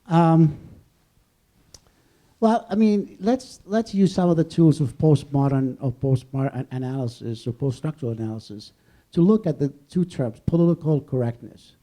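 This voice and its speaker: Mellow, dark-voiced man thinking aloud. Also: fairly high pitch, lively intonation. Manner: discursive, halting, rephrasing as he goes. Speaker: professor on a debate panel. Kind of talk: extemporaneous academic discussion before an audience.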